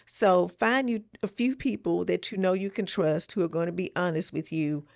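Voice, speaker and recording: A woman co-hosting a podcast, giving casual advice in a deliberate, encouraging way. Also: lowish voice, creaky, darkish tone, dry recording, studio quality. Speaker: podcast co-host.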